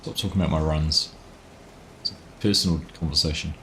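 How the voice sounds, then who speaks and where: A man's voice: extremely low pitch, steady tone, fairly quick, pushed and bright, slight background noise. A young man with a New Zealand accent, chatting casually and reading chat aloud while livestreaming a farming game. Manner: chatty, laughs at himself, reads chat aloud. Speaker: livestream gaming host. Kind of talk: casual live gameplay chat.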